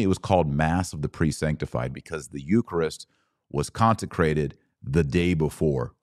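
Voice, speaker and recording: Guy with a low deep voice doing a religious explainer podcast, chatty but teacherly, repeats stuff for emphasis, really dry sound. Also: darkish tone, no echo. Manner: didactic, conversational, emphatic restatements. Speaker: podcast host.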